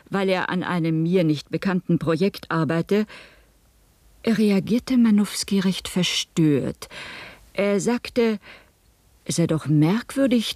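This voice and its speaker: A woman's voice: fairly low pitch, mellow, dry recording, lightly acted. An actress playing an older woman, a professor's wife, giving careful, hesitant and precise testimony in a 1970s radio play. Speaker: witness at a hearing.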